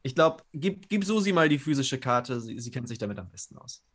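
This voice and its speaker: A man improvising in-character roleplay in a halting, anxious manner on a video call from home. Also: medium pitch, big pitch swings, fairly bright tone, breathy edge. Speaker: roleplay player. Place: attic room at home, online video call.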